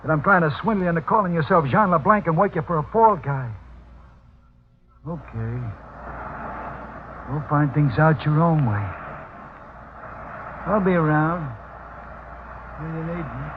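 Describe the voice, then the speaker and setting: A man's voice: a little high, unhurried, pauses often, lightly acted. An actor playing a tough, loyal gangster henchman with slangy talk in a 1940s radio crime drama. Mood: challenging. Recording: thin, phone-like old radio recording, faint noise.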